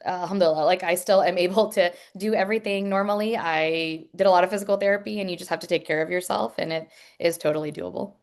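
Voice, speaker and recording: A woman's voice: on the low side, fairly even intonation, fast, hardly any pauses. Earnest nurse woman on a video call. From Pakistani heritage. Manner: earnest, frank, many ums and restarts. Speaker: emergency room nurse. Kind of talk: answering questions on a video call.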